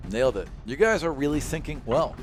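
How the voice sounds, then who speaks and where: Male game master, mid-range, casual narration with lively intonation, music underneath. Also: fairly high pitch, brisk.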